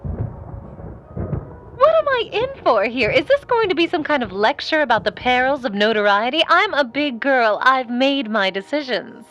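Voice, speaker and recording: An actress playing a driven, sassy young aspiring starlet, theatrical and dramatic in a vintage radio drama style. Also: female voice, high-pitched, lively intonation, measured pace, a bit bright, soft background music. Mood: indignant. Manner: theatrical, sassy, darling-dropping.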